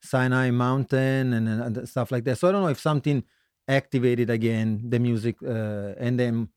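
A middle-aged man from Italy with an Italian accent, telling his story casually as an interview guest, halting and self-correcting with a joking streak. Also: mid-range voice, fairly even intonation, a little mellow, clear, resonant tone, dry recording, studio quality. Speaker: guest musician, singer-songwriter.

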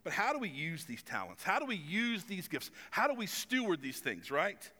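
A man's voice: sits high, sing-song, normal speed, bright, forceful tone, in a large hall. A man of about forty preaching a sermon as pastor in a conversational, self-deprecating way, with some stumbles and fillers. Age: 40s. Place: church stage with long reverb.

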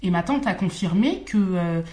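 A woman's voice: mid-range voice, lively intonation, normal speed, warm tone. A woman co-hosting a casual conversation, chatty, with easy laughs and many fillers.

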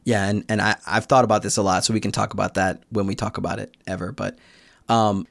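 A man's voice: on the low side, level tone, fast, vocal fry, dry recording. A young American man talking reflectively as a podcast guest, explaining his thoughts in a casual conversational way. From United States. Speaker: podcast guest, Korean learner.

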